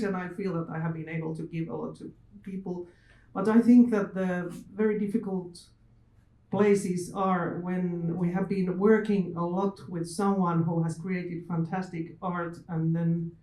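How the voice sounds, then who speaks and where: A man's voice: sits high, dark tone. A man who speaks English as a second language, reflecting earnestly as a panel speaker. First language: not English. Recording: echoey room, distant mic.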